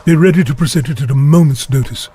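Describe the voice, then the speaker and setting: An actor playing a pompous, fretful government ambassador who grumbles gloomily and disdainfully in a comic audio drama. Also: male voice, fairly high pitch, fast, mellow, a little hiss or hum, lightly acted. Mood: grumbling, anxious. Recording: music behind the voice.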